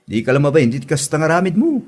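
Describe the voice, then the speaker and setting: A man's voice: a little high, sing-song, very fast, a little mellow. A man playing an exasperated village husband scolding his wife in a comedy drama, with an Ilocano accent.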